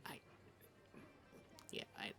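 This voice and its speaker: A woman co-hosting a comedy podcast, improvising banter in a halting, hesitant way. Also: whispered, over music.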